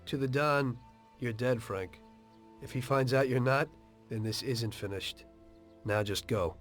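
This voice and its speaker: An actor playing a conscientious, streetwise American mobster in a video game, plain-spoken and wry, with a weary air. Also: male voice, medium pitch, a little slow, soft background music, natural voice. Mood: grave, firm. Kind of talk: dramatic video game dialogue and confessional narration.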